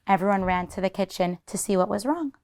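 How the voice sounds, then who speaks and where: Lady teacher reading a picture book to kids, medium pitch, expressive, explains things simply. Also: brisk. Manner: explains the story in simple asides. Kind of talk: expressive children's story narration.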